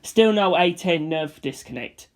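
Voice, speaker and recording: A woman's voice: on the low side, lively intonation, measured pace, a little mellow. A woman narrator reading fan fiction as dramatic scripted narration.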